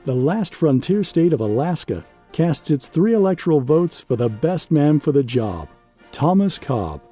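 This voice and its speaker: An earnest, proud grade-school pupil from a storybook, acted out by a man reading aloud. The voice is mellow and lifted, with animated swings as it makes its announcement over faint music. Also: fairly high pitch, measured pace, husky, full character voice. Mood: proud announcing.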